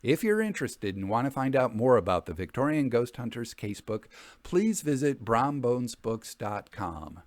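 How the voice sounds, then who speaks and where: Podcast host: man with a medium-pitched voice, measured explanatory delivery, close dry sound. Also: sing-song, no echo. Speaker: host and narrator of a story podcast. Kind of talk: measured podcast outro, book promotion.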